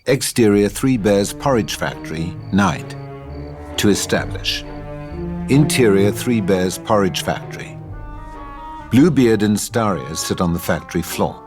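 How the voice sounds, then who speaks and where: Casting: male narrator for a radio play table read, low register, music bed behind. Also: animated, unhurried. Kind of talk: scripted narration of stage directions.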